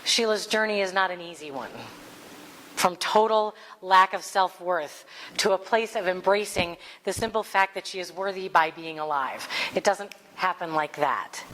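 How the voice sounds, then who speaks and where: A woman giving a heartfelt spiritual talk to a congregation as its minister, speaking with earnest warmth and reading passages aloud. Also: lowish voice, moderate pace, big-room sound, a little hiss or hum, poor audio.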